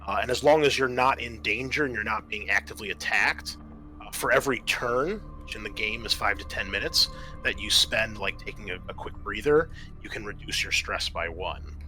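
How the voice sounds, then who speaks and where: A man with a fairly low, forceful voice acts as game master of a tabletop roleplaying game. He explains rules and describes scenes, often pausing mid-sentence before carrying on. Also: soft background music.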